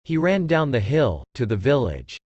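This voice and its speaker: A man's voice: mid-range voice, very animated, moderate pace, a little mellow, dry recording, muffled recording. A man narrating a scripted story for children.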